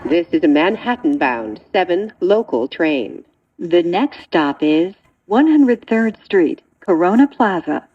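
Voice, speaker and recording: A woman reading scripted train announcements in a measured, segmented way. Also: on the low side, very animated, husky, highs cut off.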